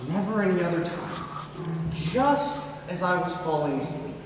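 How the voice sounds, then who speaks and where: A man's voice: sits high, expressive, moderate pace. Obsessive, sincere teen boy played by a young man, stage monologue; distant recording with noisy background. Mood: earnest, confiding. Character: earnest, obsessive teenage boy confiding odd beliefs. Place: school theatre stage, recorded from the audience. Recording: noisy, very echoey hall from far off.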